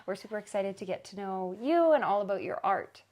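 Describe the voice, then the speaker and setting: A woman acting as host, greeting her guest in an upbeat, practiced way. Her voice sits in a middle pitch. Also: expressive, brisk, darkish tone. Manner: upbeat, scripted host intro. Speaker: show host. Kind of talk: friendly interview questions.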